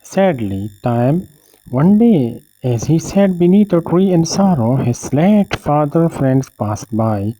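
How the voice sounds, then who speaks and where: A man narrating a scripted moral story in a measured storyteller manner. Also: on the high side, very animated, mellow, a little hiss or hum, low-quality recording.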